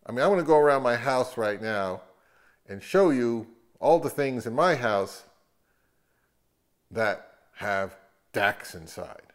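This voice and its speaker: A man's voice: medium pitch, animated, takes it slowly, long pauses. A middle-aged man talking casually and unscripted to camera at home, hesitant, rambling and self-deprecating. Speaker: video host. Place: open living room at home, indoors.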